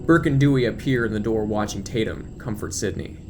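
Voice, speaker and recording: A man's voice: fairly low pitch, fairly quick. A man narrating an audio drama in scripted present-tense prose, reading scene descriptions and action.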